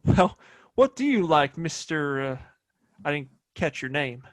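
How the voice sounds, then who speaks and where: High-pitched, hot-headed Wild West bully. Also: male voice, sing-song, measured pace, fairly bright tone. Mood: menacing, indignant. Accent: American. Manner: blustering, folksy drawling insults. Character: cocky, hot-tempered Wild West gunslinging bully with a badge. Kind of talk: audio play acting, western.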